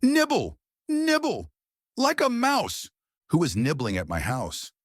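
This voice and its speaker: A man's voice: on the high side, huge pitch swings, slow pace, husky, no echo, studio quality, voice pushed up, full character voice. A man voicing a wicked, falsely kind old witch woman in a storybook, with a thin voice, coaxing and commanding. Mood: coaxing, eerie. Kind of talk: storybook character voice.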